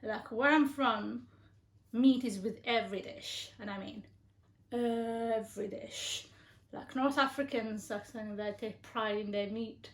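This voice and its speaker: A woman's voice: fairly high pitch, unhurried. A woman from Algeria chatting casually about her diet, hesitant, with many fillers and restarts. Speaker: co-host being interviewed. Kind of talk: casual conversational chat. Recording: echoey room.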